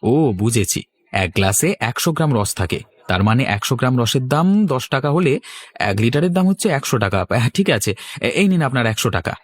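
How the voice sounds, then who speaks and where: A man plays a naive cartoon townsman visiting a village. His mid-range voice swings widely in pitch. He is chatty, courteous and trusting. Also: lively intonation, fast, no room sound, lightly acted. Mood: surprised, then satisfied. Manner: polite, chatty. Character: naive, polite city visitor, gullible son-in-law. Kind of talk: cartoon voice acting.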